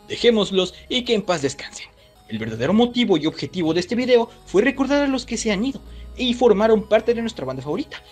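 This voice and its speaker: High-pitched, animated male YouTuber. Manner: chatty, addresses viewers directly. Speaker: YouTube host. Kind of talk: casual scripted video commentary.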